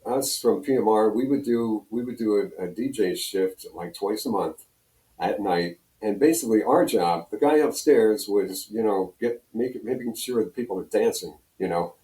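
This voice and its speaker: An older American man with a low, mellow voice reminisces as a guest in a casual interview. He wanders through his anecdotes, and the sound is roomy. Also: normal speed. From Pennsylvania, United States. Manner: rambling, anecdotal storytelling. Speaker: guest, retired radio personality. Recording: roomy echo, distant from mic.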